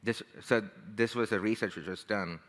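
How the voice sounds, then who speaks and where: A man's voice: fairly low pitch, normal speed, a bit bright, long reverb tail. A man from India with an Indian accent, giving a conversational talk and often checking in with "right?" and "you know". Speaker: speaker. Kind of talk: motivational talk.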